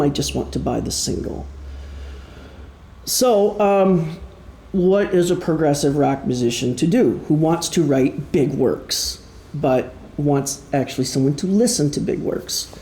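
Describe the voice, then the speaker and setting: Male author-musician presenting informally: mellow, creaky voice, conversational and self-effacing, recorded in a live room. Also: fairly high pitch. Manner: halting, self-deprecating, conversational. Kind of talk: informal public talk about own creative project. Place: indoor room before an audience. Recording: roomy live presentation sound.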